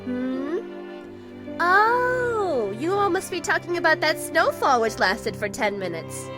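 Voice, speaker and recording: Breezy, energetic teenage girl, acted by a woman, high and very animated, folksy, audio drama. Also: slow pace, exaggerated voice. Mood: curious, amused. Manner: energetic, folksy, laughs easily. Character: cheerful, breezy, perceptive teenage schoolgirl. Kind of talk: audio drama character acting. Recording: music behind the voice.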